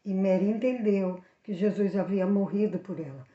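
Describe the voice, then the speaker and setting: A woman with a Brazilian accent telling a Bible story for children, speaking directly to the young viewers in an animated way. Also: mid-range voice, normal speed, reverberant, muffled recording. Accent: Brazilian Portuguese.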